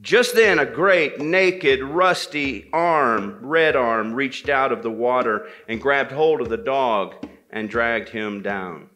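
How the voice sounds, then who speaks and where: Bright-voiced man telling a folktale. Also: mid-range voice. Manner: deliberate, repeats phrases for effect. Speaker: storyteller at a men's gathering. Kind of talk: live oral storytelling of a folktale, dramatic pauses and repetition.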